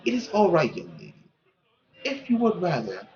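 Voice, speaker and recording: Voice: medium pitch, huge pitch swings, a little mellow. Suave French marquis played by a story reader, courtly, condescending, theatrical, widely swinging intonation, lo-fi sound. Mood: gentle, charming. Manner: theatrical, flowery, unhurried speech. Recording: dull lo-fi audio with faint music.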